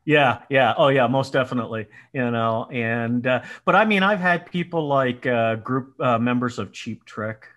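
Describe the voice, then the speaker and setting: A man's voice: medium pitch, normal speed, few pauses, fairly bright tone, lo-fi sound. A man in his sixties from Colorado with a nasal voice, a guest telling self-mocking stories on a video call from his home. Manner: self-mocking storyteller, laughs often. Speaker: podcast guest, business owner. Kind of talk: casual video call chat. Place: home room indoors. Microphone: headset, video call.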